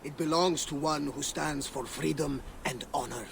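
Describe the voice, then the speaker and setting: An actor playing a proud, bitter runaway Thracian gladiator in a video game, blunt and defiant in manner. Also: male voice, a little high, normal speed, gravelly, fairly bright tone, a little hiss or hum. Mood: weary, grateful.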